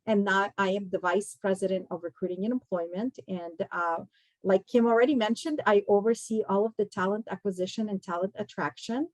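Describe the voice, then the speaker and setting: A woman from Kazakhstan speaks as a guest professional to students. Her delivery is conversational and explanatory, addressed straight to the listeners. Her pitch is average for a woman, and the sound is dry. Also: brisk, no room sound, low-quality recording. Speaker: guest speaker, recruiting executive. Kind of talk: career talk to students.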